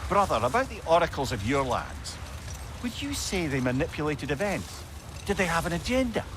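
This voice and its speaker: Game companion: avuncular Scottish old wise man, lively melodic delivery, witty, noisy background. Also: a little high, moderate pace. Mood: curious. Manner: wry, conversational, counselling. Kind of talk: video game dramatic acting.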